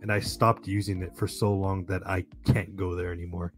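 A man hosting a casual podcast, with a raspy, smoker-like voice and a monotone, joking delivery. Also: low voice, normal speed, soft background music. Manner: monotone, joking, says "dude" a lot. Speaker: podcast host. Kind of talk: casual chat.

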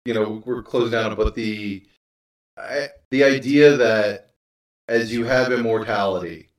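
A man's voice: lowish voice, a little slow. Distant, very echoey man's voice. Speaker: interview guest, audio drama creator. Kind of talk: casual, encouraging conversation. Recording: distant, very echoey room sound.

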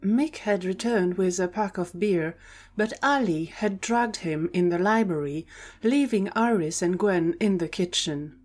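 A woman's voice: medium pitch, unhurried, a little mellow. A woman narrator reading scripted story narration for an audio drama.

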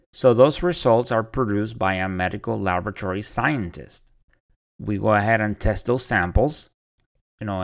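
A man from Puerto Rico hosting a podcast, talking conversationally with frequent fillers and restarts. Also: on the low side. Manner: conversational, many "you know" fillers and restarts. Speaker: podcast host. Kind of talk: casual solo podcast introduction.

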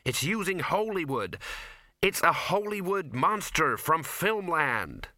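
A man's voice: fairly high pitch, very animated, slow pace, no room sound, clean studio sound, voice pushed up, exaggerated voice. A man voicing a bossy, impatient young woman who speaks in indignant, raised tones. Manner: shouting, indignant.

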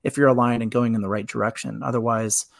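A man's voice: medium pitch, fairly even intonation, quick pace, creaky, no echo, studio quality. A man hosting a webinar interview, affirming his guest and rambling with many filler phrases.

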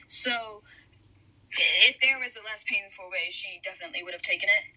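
A woman's voice: medium pitch, brisk, bright, forceful tone, poor audio. A young woman hosting a podcast, earnest and sympathetic, a little hesitant as she interviews her guest. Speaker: podcast host. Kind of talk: casual interview.

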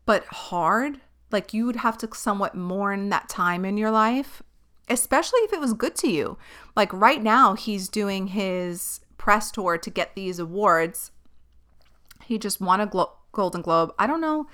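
A woman's voice: mid-range voice, sharp tone, clean tone. A woman in her thirties hosting a podcast, chatting casually and giving her opinions, with many tangents. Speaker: podcast host. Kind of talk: casual chat.

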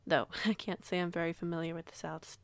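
A woman playing a protective female werewolf pack alpha in an audio roleplay, speaking in a measured, polite, reassuring way. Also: fairly low pitch, flat delivery, no echo, close to an everyday voice. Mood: pleasant, informative. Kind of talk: audio roleplay acting, conversational.

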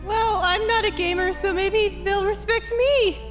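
A woman voices a meek, naive young male hedgehog in a comic fan dub. The voice sits very high and squeaky, with loud music playing underneath. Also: fairly even intonation, a little slow.

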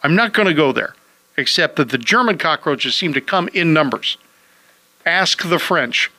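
Bright, joking radio host. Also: male voice, fairly high pitch, expressive, moderate pace, no echo. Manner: joking, wisecracking. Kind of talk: casual radio chat.

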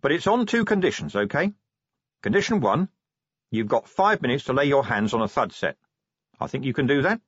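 A man playing a weary male watch commander who talks crisply and snaps his words. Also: mid-range voice, sing-song, a little fast, fairly bright tone, no echo, muffled recording. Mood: firm. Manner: crisp, snappish.

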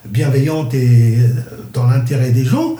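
Older algerian-born guy, kabyle first language, lots of ums and restarts, mellow voice, echoey room. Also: mid-range voice, fairly even intonation, unhurried. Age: 60s. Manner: hesitant, many fillers and restarts. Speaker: interviewee, the host's father. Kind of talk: casual interview.

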